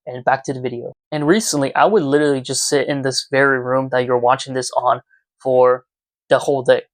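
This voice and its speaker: A man's voice: medium pitch, fairly even intonation, a little fast, dry recording. A twenty-year-old man talking earnestly and reflectively to viewers in a casual, confessional vlog recorded in his room at home. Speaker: YouTuber. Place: small room at home, indoors.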